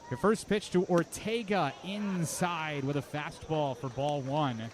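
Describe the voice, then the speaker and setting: Baseball play-by-play man, higher-pitched, ballpark noise. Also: steady tone, unhurried. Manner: clipped pitch calls between flowing commentary. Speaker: radio play-by-play announcer for baseball. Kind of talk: live baseball radio play-by-play. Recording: clear outdoor ballpark noise.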